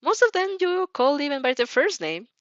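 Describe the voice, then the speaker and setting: A woman's voice: sits high, sing-song, normal speed, no room sound, muffled recording. A woman reading fan fiction aloud as informal storytelling, giggly and prone to breaking off with comments. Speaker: fan fiction reader and dubber.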